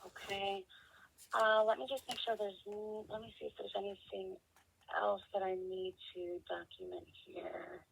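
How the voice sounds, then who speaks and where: A woman working as a customer service representative on a telephone call, speaking haltingly as she thinks aloud and checks details. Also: mid-range voice, animated, gravelly, slight background noise. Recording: dull, band-limited telephone line.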